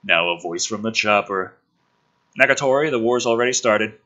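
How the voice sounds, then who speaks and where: A man's voice: on the low side, moderate pace, lo-fi sound. A man hosting a commentary show, reading scripts dramatically and reacting in a sarcastic, sweary, exasperated way. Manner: animated, sarcastic, sweary, groaning asides. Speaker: host of a gaming and film commentary channel.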